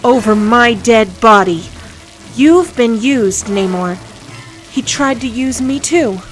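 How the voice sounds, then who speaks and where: A woman's voice: on the high side, expressive, slow pace, soft background music, rough recording. A woman playing a loyal, anguished superheroine wife in a scripted drama, earnest and emotional. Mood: pleading, firm.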